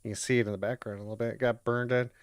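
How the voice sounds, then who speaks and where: Streamer, middling pitch, unhurried, casual reaction commentary, deliberate read-aloud phrases, muttered asides, dry close sound. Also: level tone, no echo. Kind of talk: casual watch-along commentary mixed with reading on-screen text aloud.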